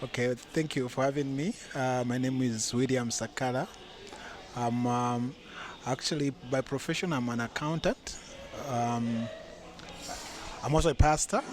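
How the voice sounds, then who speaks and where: A man's voice: medium pitch, fairly even intonation, unhurried. A man, an accountant and pastor, answering interview questions thoughtfully with frequent fillers.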